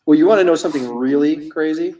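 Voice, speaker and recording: A man's voice: on the high side, fast, mellow, far from the mic. A man in his fifties, a comic artist chatting casually and rambling through anecdotes while he inks on a stream. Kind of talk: casual chat while drawing.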